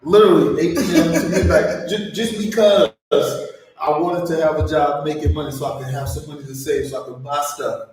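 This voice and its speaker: A man in his forties hosting a casual chat, an animated, slangy storyteller. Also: a little high, normal speed, slight background noise. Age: 40s. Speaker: host. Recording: echoey room, far from mic.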